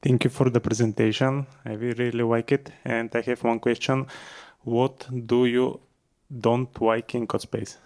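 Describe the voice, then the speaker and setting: Male audience member, monotone, asks his question haltingly and carefully. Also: medium pitch.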